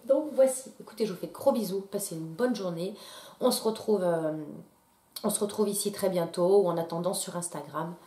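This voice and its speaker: A woman's voice: lowish voice, brisk, warm tone. Stuffy-nosed woman chatting about beauty products. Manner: chatty, many fillers and restarts. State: stuffy nose from a cold. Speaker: beauty YouTuber. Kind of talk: casual product haul talk to camera. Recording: echoey room.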